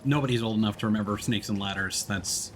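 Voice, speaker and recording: A man's voice: fairly low pitch, fast, a little hiss or hum. A man giving casual, off-the-cuff live commentary as he streams a video game.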